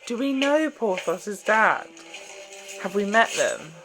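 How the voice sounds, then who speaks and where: A man's voice: high-pitched, sing-song, takes it slowly, music in the background. A man giving casual reaction commentary as a host, with gossipy, exclamatory asides.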